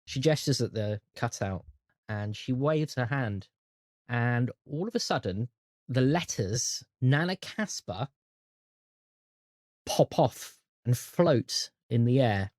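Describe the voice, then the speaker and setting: A man's voice: mid-range voice, lively intonation, takes it slowly, a little mellow, no room sound. A man with a British accent, game mastering and chatting casually on a tabletop roleplay show.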